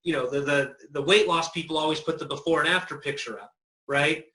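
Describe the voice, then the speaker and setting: An echoey room carries this man's bright, higher-pitched voice. He chats casually and without a script, as one expert among others on a marketing panel. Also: a little fast. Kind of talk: casual conversation.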